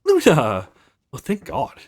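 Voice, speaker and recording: Man voicing Orion, an earnest hero with lively pitch. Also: slow, gravelly, exaggerated voice. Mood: anxious, relieved. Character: earnest young male hero, Orion, protective.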